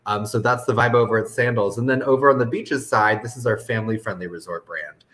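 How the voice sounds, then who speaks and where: Low-voiced man explaining informally. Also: fast talker, a bit bright. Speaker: interview guest, resort business development manager. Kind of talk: conversational sales explanation.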